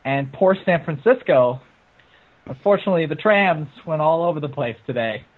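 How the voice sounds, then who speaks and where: A man's voice: fairly high pitch, lively intonation, moderate pace, narrow, tinny audio. A man giving his opinion as a guest in a casual group film review, heard over a thin internet call.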